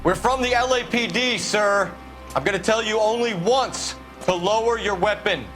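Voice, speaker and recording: Earnest, clipped police detective. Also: male voice, sits high, normal speed, fairly bright tone, faint music behind. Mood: firm warning. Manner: firm, clipped, formal. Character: earnest, upright, resolute male police detective. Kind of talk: 1940s-set video game crime drama acting.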